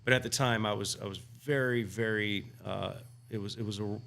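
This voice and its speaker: A man's voice: fairly low pitch, fairly even intonation, brisk, creaky, sharp tone, a little hiss or hum. A man talking casually on a podcast as a guest, rambling with many restarts and fillers. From United States. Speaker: guest homebrewer.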